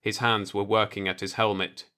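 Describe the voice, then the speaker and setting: A man's voice: fairly low pitch, little pitch movement, moderate pace, bright, forceful tone. A man reading a scripted science fiction story aloud as an audiobook narrator.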